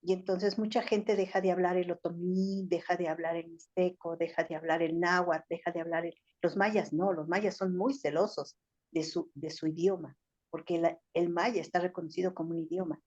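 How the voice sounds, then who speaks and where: A woman's voice: lowish voice, fairly even intonation, normal speed, a little mellow. Older Mexican woman, reflective and lecturing. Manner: thoughtful, lecturing, many fillers like "o sea" and "este". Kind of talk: reflective talk-show discussion. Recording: slightly dull lo-fi audio.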